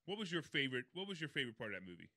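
A man co-hosting a casual radio show, talking quickly with a playful, teasing manner. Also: mid-range voice, sing-song, pushed and bright, no echo. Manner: fast, jokey, playful teasing.

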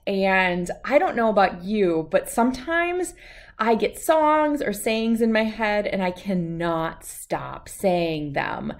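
A woman in her thirties reads aloud for small children. Her voice sits at a middle pitch and she takes her time. The delivery is playful and expressive, with a sing-song rhythm. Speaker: children's read-aloud host. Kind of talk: animated picture-book read-aloud for young children.

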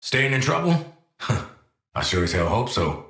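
A man's voice: medium pitch, big pitch swings, slow, vocal fry, a bit bright, reverberant, heavily acted. An actor playing a tough, wry male assassin and protective father in a video game, with a sardonic, streetwise manner.

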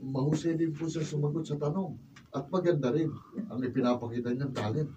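A man's voice: on the high side, steady tone, a little fast, dark tone, lightly acted. A man playing a polite, supportive grown son in a Filipino radio drama. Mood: admiring. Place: radio drama recording studio. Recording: distant, faintly noisy studio camera audio.

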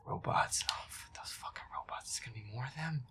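A man playing a feisty, grumpy werewolf boyfriend who mutters and swears his way through a scary video game, often in a breathy whisper. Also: mid-range voice, brisk, bright, forceful tone, close to an everyday voice. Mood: grumbling. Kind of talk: boyfriend audio roleplay as gameplay commentary.

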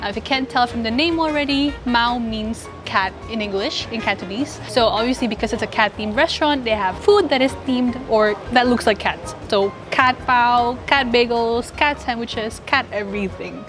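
Chatty young woman vlogging over loud music. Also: fairly high pitch, normal speed, hardly any pauses. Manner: chatty, upbeat, tastes food on camera. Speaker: food vlogger host. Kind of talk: casual food review vlog. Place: small cafe indoors and street outdoors. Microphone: lapel mic.

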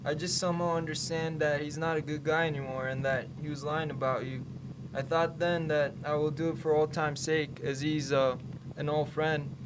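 A man plays an apologetic young man in a radio drama, his voice a little high. He talks haltingly and earnestly over clear background noise. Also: flat delivery, natural voice. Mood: guilty, apologetic. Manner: hesitant, halting. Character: hesitant, apologetic young man, reluctant stalker and book lover. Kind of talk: student radio play dialogue.

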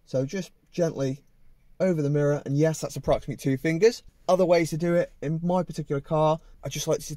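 A man's voice: a little high, darkish tone, no room sound. A man in his thirties, a driving instructor, patiently explaining step by step from the driver's seat of a parked car. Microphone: camera on the dashboard.

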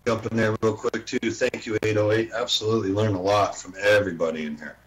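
A man's voice: on the low side, steady tone, quick pace, fairly bright tone. A man from Texas chatting casually as a radio hobbyist in an online voice chat. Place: indoors, home, online voice and video chat. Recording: noisy voice chat microphone.

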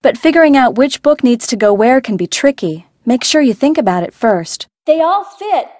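A woman's voice: high-pitched, sing-song, moderate pace, a little mellow. An actress playing a friendly young woman who owns a boat in a mystery game, recording chatty lines and alternate takes. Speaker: game character.

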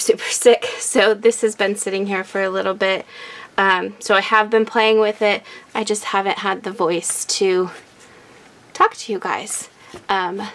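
A woman hosting a casual craft unboxing, chatty and excitable, her voice raspy from a recent illness. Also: mid-range voice, background noise. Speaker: craft channel host. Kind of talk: casual product unboxing chat.

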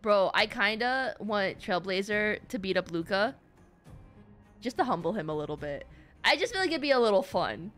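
A woman game streamer giving casual, rambling live commentary in an excitable, giggly way, on a close mic. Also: mid-range voice, normal speed, soft background music.

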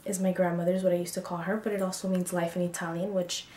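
A woman's voice: lowish voice, level tone, quick pace, mellow, reverberant, slight background noise. A woman of twenty-two chatting frankly to camera about herself in a casual get-to-know-me video, with lots of ums and likes. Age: 20s. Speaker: beauty vlogger. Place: small bedroom, indoors.

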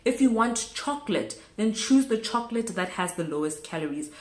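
A woman's voice: mid-range voice, lively intonation, brisk, a little mellow. A woman in her thirties from South Africa, chatting casually to camera in a small room and repeating words for emphasis. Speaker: vlogger. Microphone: camera close in front. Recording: echoey room.

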